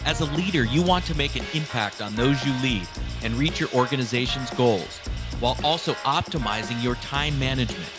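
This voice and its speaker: A man hosting a podcast, presenting in clear phrases with an affirming manner. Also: medium pitch, a little fast, over music. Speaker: podcast host. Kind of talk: podcast interviewing and scripted outro.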